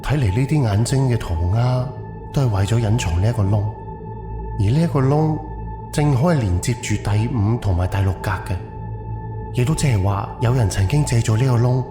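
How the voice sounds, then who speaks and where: A man's voice: medium pitch, normal speed, lightly acted. A man playing a wary, analytical haunted-house investigator thinking aloud in an audio drama, with a musing, questioning delivery. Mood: realising, analytical. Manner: musing, questioning, muttered self-talk. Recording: echoey reverb, music underneath. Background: background music.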